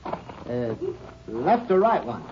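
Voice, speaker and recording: An actor playing a nervous, guilty killer in a 1950s radio western, halting and defensive. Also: male voice, medium pitch, sing-song. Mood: wary, uneasy. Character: jittery, guilty male murderer in a western. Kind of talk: 1950s radio drama acting. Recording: noisy, muffled 1950 radio recording.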